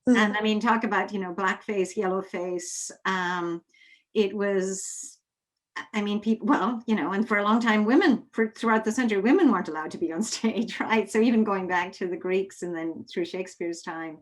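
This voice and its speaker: A woman's voice: mid-range voice, moderate pace. A woman interviewing in a conversational way, thoughtful and often rephrasing herself as she goes. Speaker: interviewer, theatre artistic director.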